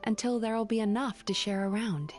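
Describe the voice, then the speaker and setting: A woman playing a gentle, wistful young business owner in an anime game, soft-spoken and reflective, with music behind. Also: mid-range voice, steady tone, fairly quick, lightly acted.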